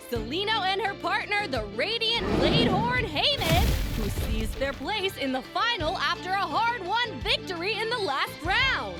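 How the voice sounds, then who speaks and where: A woman voicing a showy young tournament announcer in a video game, proclaiming in a grand, theatrical manner. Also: extremely high pitch. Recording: loud music over the voice.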